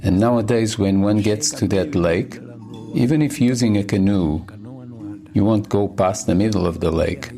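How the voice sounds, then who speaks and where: A man's voice: lowish voice, normal speed, warm tone, soft background music. A man giving a translated voice-over of an interview.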